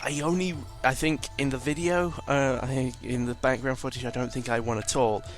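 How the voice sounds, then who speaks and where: A man's voice: medium pitch, fairly even intonation, brisk, faint music behind. A man giving casual, rambling game commentary, informal and sweary, often correcting himself as he goes. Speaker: gaming video commentator.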